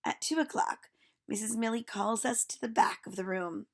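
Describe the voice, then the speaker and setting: A woman's voice: mid-range voice, moderate pace. A woman reading a children's storybook aloud, narrating like a teacher to young listeners.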